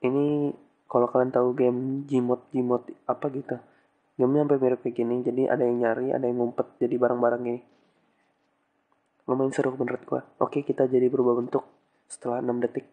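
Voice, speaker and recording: A man's voice: mid-range voice, phone-quality sound. A young man with a stuffy nose from a cold, giving casual, slangy game commentary with English exclamations. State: has a cold. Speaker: gaming channel host.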